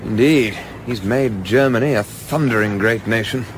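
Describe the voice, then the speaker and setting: A man playing a proper young English gentleman official in a radio play, clipped, polite and measured in manner. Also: mid-range voice, close to an everyday voice. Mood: thoughtful. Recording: clearly noisy ambience behind voice.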